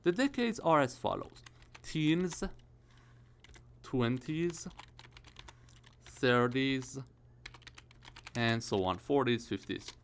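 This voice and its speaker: A man's voice: medium pitch, expressive, drawn-out words, creaky, long pauses, a little hiss or hum. A man in his early thirties teaching English grammar for an exam, explaining step by step and often checking with "all right?" and "okay?". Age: 30s. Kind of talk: IELTS grammar lesson.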